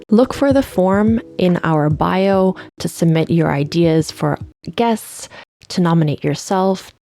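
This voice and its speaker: A woman hosting a live interview show, reading the intro in a clear, scripted way. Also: fairly low pitch, dark tone. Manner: clear, read-aloud delivery. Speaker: host, lecturer.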